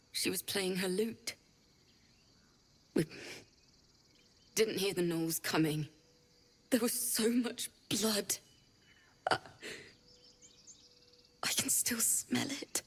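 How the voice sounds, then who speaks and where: A woman playing a gentle, grieving young tiefling bard in a video game, speaking in a halting, confiding way. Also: mid-range voice, breathy edge. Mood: distressed. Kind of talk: video game drama acting.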